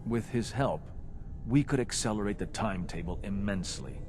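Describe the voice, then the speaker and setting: A man's voice: fairly low pitch, moderate pace, background noise, lightly acted. A man playing an earnest, reassuring institute operative in a video game, with a persuasive manner. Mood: cautious, explaining.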